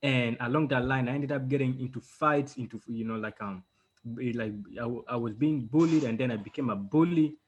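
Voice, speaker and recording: A man's voice: medium pitch, brisk, lo-fi sound, a little hiss or hum. A young man from Uganda being interviewed as a guest author, telling his story in a casual, rambling way with many fillers and restarts over a video call.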